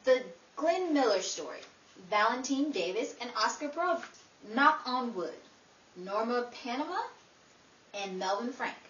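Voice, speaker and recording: A young woman playing a brisk, declamatory presenter in a 1950s-style radio show. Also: a little high, expressive, a bit bright, highs cut off. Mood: presenting. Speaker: actress and award presenter. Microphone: far camera. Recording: echoey room, distant mic, background noise.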